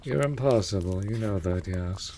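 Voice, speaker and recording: Man acting a low, wry winged familiar. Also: normal speed, mellow, natural voice. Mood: indignant, grumbling. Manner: teasing, dramatic, formal fantasy diction. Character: wry, put-upon winged animal familiar of a mage. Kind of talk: fantasy audio roleplay acting. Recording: clear background noise.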